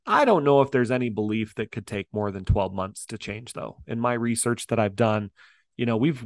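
A fairly low-voiced man talks as a life coach, emphatic and upbeat, leaning hard on key words. The sound is dry and close, with no room around it. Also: dry recording. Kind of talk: interview guest, motivational talk.

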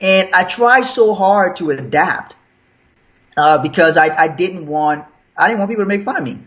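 A man's voice: sits high, animated, normal speed, a bit bright. A young man from Liberia with an American accent, telling his story casually as an interview guest, with restarts and chuckles. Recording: dull lo-fi sound.

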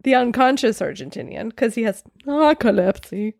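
A woman's voice: fairly high pitch, very animated, moderate pace, vocal fry, darkish tone, no room sound, clean studio sound. A woman co-hosting a casual film discussion, enthusiastic and swearing casually.

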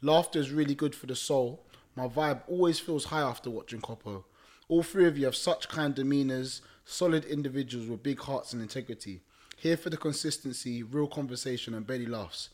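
A man's voice: medium pitch, brisk, a little mellow. A man co-hosting a casual podcast, reading viewer comments aloud with a teasing edge and frequent laughter. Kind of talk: casual banter.

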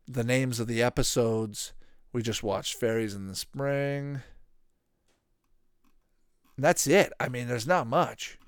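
A man's voice: medium pitch, slow, long pauses, smooth, no room sound, clean studio sound. A man in his thirties hosting a podcast, talking casually and informally in a rambling, repetitive way. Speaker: podcast host. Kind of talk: casual solo commentary on retro cartoons.

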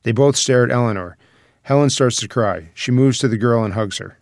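A man's voice: mid-range voice, fairly quick, dry recording. Male narrator reading screenplay, dry sound. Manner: dramatic present-tense storytelling. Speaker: narrator reading stage directions.

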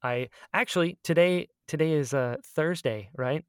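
A woman's voice: deep voice, moderate pace, clean tone, no room sound, clean studio sound. A woman podcast host chatting casually, playful and self-deprecating in her banter.

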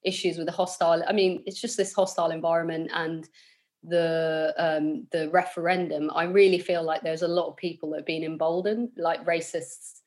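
A woman's voice: low-pitched, flat delivery, moderate pace, darkish tone. A woman with a London accent, a guest in a casual interview, talking thoughtfully with fillers and restarts. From England.

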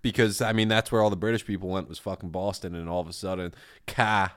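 A man's voice: deep voice, steady tone, quick pace, smooth. A man co-hosting a podcast, trading crude, teasing jokes in casual banter. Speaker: podcast co-host.

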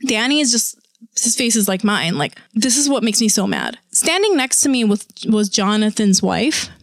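Animated, profane woman storyteller. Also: on the high side, moderate pace, dry recording. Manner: animated, profane, acts out quotes. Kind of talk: casual storytelling chat.

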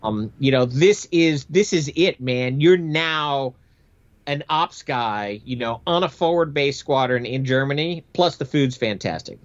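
A man's voice: medium pitch, expressive, measured pace, dull audio. A middle-aged American man, a former military aviator, telling his experiences conversationally as an interview guest in an emphatic, matter-of-fact way. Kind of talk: conversational storytelling.

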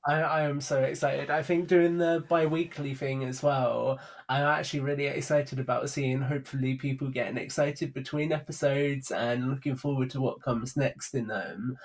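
A man's voice: medium pitch, steady tone, moderate pace, few pauses. A man chatting casually and enthusiastically as a guest on a podcast. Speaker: podcast guest, audio drama creator. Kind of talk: casual chat.

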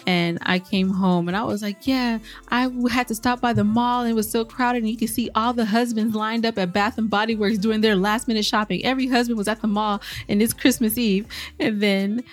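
A woman co-hosting a podcast in casual chat, bubbly and quick to laugh. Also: a little high, steady tone. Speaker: podcast co-host.

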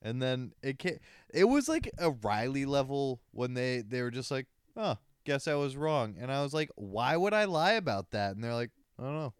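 Male co-host, twenties, smooth clear voice, dry close mic, crude joker. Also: medium pitch, very animated, normal speed, no room sound. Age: 20s. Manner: crude, joking, laughs often. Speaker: podcast co-host. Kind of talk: casual chat.

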